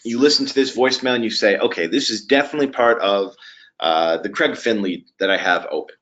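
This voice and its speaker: A man presenting a software webinar walkthrough in a conversational, halting way with frequent pauses. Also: lowish voice. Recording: dull, lo-fi webinar audio.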